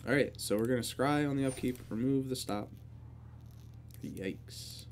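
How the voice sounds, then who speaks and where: A man's voice: medium pitch, a little slow, soft background music. Congested man casually commentating a card game. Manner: relaxed, thinking aloud while playing. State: congested, sore throat. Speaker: gaming video creator.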